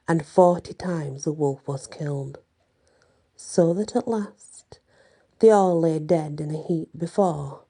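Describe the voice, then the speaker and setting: A woman reading a story aloud in an ASMR bedtime style, with halting, deliberate phrasing. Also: low voice, warm tone.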